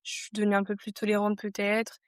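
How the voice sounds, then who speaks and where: 20-something french woman on a podcast, thinking out loud, lots of euh, dry mic. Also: mid-range voice, monotone, quick pace, clean tone, no echo. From France. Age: 20s. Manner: reflective, with many fillers and restarts. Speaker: podcast guest. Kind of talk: casual podcast interview.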